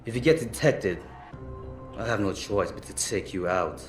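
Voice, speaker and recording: An actor playing a grudging, stern guard in a video game. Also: male voice, fairly low pitch, moderate pace, natural voice. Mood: grudging warning. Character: grudging, stern male guard repaying a favour. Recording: roomy echo, faint music.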